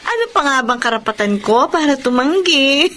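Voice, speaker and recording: A woman's voice: high voice, expressive, normal speed, a bit bright, a little hiss or hum. A woman playing a giddy, grateful young college student and little sister in a Filipino radio drama. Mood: delighted, playful.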